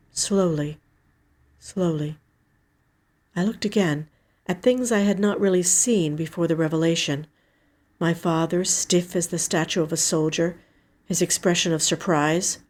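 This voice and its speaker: A woman reading a short story in the first person, with measured, somber, dramatic narration. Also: fairly low pitch, darkish tone.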